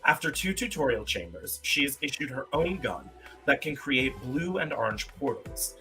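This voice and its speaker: Male live-stream game host, keen and emphatic, piercingly bright mid-range voice, light music underneath. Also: mid-range voice, big pitch swings, moderate pace, rough recording. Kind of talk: casual chat and quiz hosting on a live stream. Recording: faint music behind the voice. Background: faint music.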